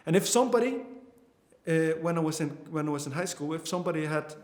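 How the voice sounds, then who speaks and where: A man's voice: fairly high pitch, expressive, quick pace, echoey room. A man in his twenties from Iceland with an Icelandic accent, teaching an online course earnestly, often restarting his sentences. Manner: earnest, with frequent restarts and fillers. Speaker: course instructor.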